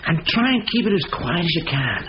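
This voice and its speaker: An actor playing a bossy, exasperated leader of a burglary gang in a 1970s radio comedy thriller, giving terse orders. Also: male voice, a little high, big pitch swings, fairly quick, noisy background. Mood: brisk, commanding. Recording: dull old radio recording, background music.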